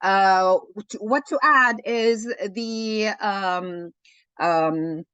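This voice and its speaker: A woman leading an online teaching session, slow and encouraging, often thanking participants. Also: medium pitch, fairly bright tone, smooth, no echo. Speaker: webinar lecturer. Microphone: video call. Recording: dull online call audio.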